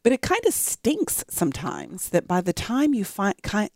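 Lively, expressive woman in her forties. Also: medium pitch, moderate pace, no echo, studio quality. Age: 40s. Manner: candid, expressive, laughs often. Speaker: podcast co-host, physician. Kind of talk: casual conversation.

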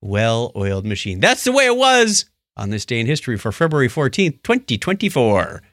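A man's voice: medium pitch, sing-song, fairly quick, a bit bright, no echo, clean studio sound. A man hosting a podcast with playful, theatrical announcing and casual comic banter. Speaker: podcast host.

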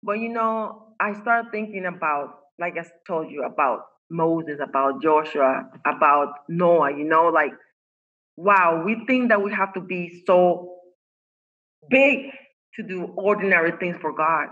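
A woman's voice: lowish voice, a little slow, a bit bright, lo-fi sound. A woman whose first language is Spanish, a coach speaking earnestly as a podcast guest, with many fillers and restarts. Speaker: guest, faith-based women's coach. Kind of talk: podcast interview. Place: home in Costa Rica.

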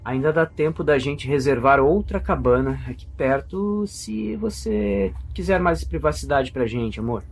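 An actor with a Brazilian accent and a husky voice playing a sweet, easygoing young boyfriend in an audio drama. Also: male voice, mid-range voice, animated, a little slow, few pauses. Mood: tender, agreeable.

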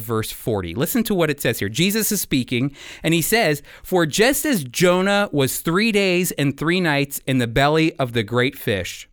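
An American man with a slightly high voice speaks in a dry studio sound. He teaches like a radio preacher, earnest and emphatic, shaping his phrases deliberately. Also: fairly bright tone, no room sound. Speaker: radio host and Bible teacher. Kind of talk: devotional sermon-style radio teaching. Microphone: close studio microphone.

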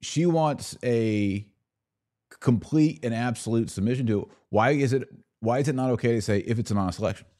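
A man's voice: medium pitch, brisk, dark tone. A man hosting a podcast, giving casual, opinionated political commentary in a conversational way with some mocking mimicry.

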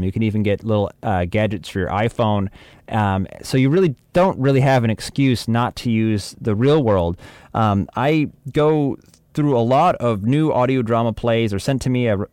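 A man's voice: fairly low pitch, a little mellow, clear, resonant tone, no room sound. A man giving informal, chatty instruction as an audio drama producer, with wry humour.

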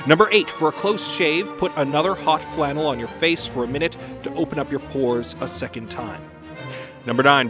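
A man reading scripted, step-by-step grooming instructions as a narrator. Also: on the high side. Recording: prominent music under the voice. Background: music.

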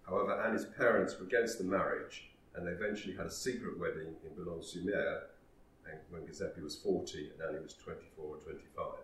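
Very low voiced guy lecturing on history, kinda far from the mic, echoey room. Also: steady tone, fast talker, fairly bright tone, a little hiss or hum. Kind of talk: historical talk. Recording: roomy echo, distant mic.

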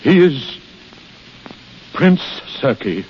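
A man's voice: a little high, big pitch swings, very slow, slight background noise, heavily acted. An actor playing a troubled, evasive older aristocratic father, faltering, in 1930s radio drama style. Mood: nervous evasion. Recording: muffled 1930s radio recording.

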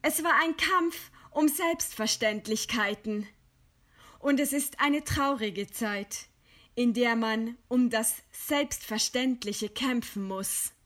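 High, slow, dignified doomed idealist played by a woman. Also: a bit bright. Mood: grave, impassioned. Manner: solemn, measured, declamatory. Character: dignified doomed idealist facing execution, resigned and proud. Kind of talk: literary radio play acting.

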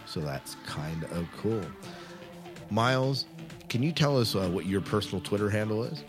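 Very deep-voiced male podcast host over music. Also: lively intonation, moderate pace. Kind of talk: casual chatty podcast hosting. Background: loud music bed.

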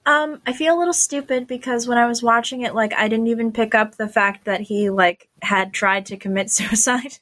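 A woman's voice: on the high side, steady tone, normal speed, hardly any pauses, a bit bright. A woman guest on a podcast, chatting casually in a hesitant, self-deprecating way. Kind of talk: casual chat.

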